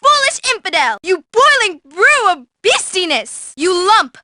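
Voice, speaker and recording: A woman's voice: very high-pitched, very animated, measured pace, pushed and bright, dry recording, clean studio sound, exaggerated voice. A woman playing a bookish teenage schoolgirl in a video game, verbose, haughty and theatrical. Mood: indignant, shrill. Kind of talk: exaggerated video game character acting.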